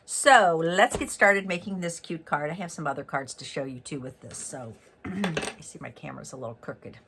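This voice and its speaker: Male craft host, raspy and congested from a cold, upbeat chatty delivery. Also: on the high side, moderate pace, background noise. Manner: chatty and upbeat, with many fillers and self-corrections. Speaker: stamping demonstrator and host. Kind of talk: casual card-making tutorial.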